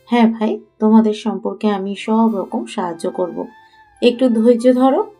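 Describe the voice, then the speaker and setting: A woman's voice: on the high side, normal speed, warm tone, soft background music. A woman playing a reassuring older-sister figure in an audio story, soothingly promising help. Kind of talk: Bengali audio story acting.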